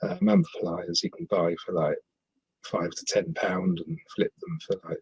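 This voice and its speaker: A man's voice: low-pitched, wildly swooping pitch, moderate pace, gravelly, a little mellow, husky, no echo, low-quality recording. A middle-aged man from the West Midlands, a podcast guest talking hesitantly with many ums. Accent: English, not Scottish. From West Midlands, England. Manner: hesitant, frequent ums and restarts. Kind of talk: casual interview chat.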